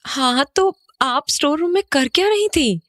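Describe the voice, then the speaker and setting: A woman playing an uneasy young mother in a horror audio drama, expressive and questioning. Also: sits high, a little slow, no room sound, clean studio sound. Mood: surprised, questioning. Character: uneasy young mother back in childhood home.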